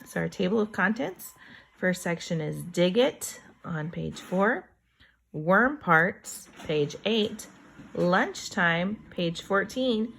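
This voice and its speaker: Slow-paced woman teacher reading to young children. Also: lowish voice, creaky, a bit bright, a little hiss or hum, poor audio. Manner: slow deliberate reading, chatty asides with wondering exclamations. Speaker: kindergarten teacher reading aloud. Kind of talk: children's read-aloud with teaching commentary.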